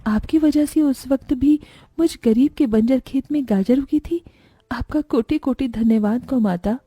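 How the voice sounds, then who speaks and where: A woman's voice: high-pitched, a little slow, mellow, slightly rough, no echo. A woman voicing a poor, tender, careworn village mother in a children's cartoon story, earnest and emotive. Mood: grateful.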